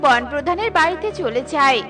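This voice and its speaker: A woman narrates a scripted cartoon tale. Her voice is extremely high in pitch. Music plays clearly behind the narration. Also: animated, brisk, a bit bright.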